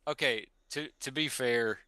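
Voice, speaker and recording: A man's voice: medium pitch, unhurried, bright, forceful tone, smooth, no room sound, studio quality. A middle-aged man, a podcast co-host, chatting casually and rambling through personal reminiscences.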